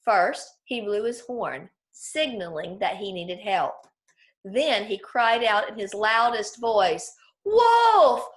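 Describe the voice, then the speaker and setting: A woman's voice: medium pitch, slow, a bit bright. Woman teacher leading a children's read-aloud lesson. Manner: clear explanatory teacher talk with questions to listeners.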